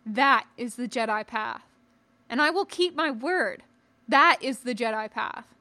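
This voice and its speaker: A woman's voice: fairly high pitch, sharp tone, no echo. A woman voicing a principled, resolute male knight and teacher in an audiobook, speaking formally and firmly. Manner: formal, measured, firm.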